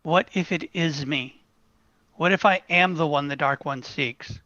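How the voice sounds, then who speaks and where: A man playing a troubled young shepherd who refuses his destiny, halting and earnest in a dramatic table read, on muffled audio. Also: fairly high pitch, pushed and bright, dry recording. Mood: anxious, questioning.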